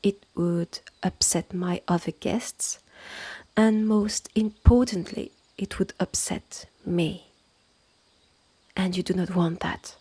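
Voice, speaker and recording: A woman plays a stern witch with a French accent in an intimate audio roleplay. She speaks slowly and deliberately in a creaky voice, haughty and impatient, as if addressing someone far beneath her. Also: on the low side, steady tone, mellow, full character voice. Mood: condescending, ominous. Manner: condescending, deliberate, clipped phrases with exasperated sighs. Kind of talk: scripted second-person audio roleplay, close and measured.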